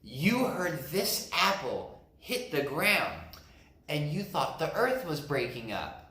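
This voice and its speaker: Brief: man doing a storybook lion, wise and unhurried, bright voice, room echo. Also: a little high, big pitch swings, full character voice. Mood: patient. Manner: slow, deliberate, firm. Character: calm wise male lion, big and patient. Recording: roomy echo.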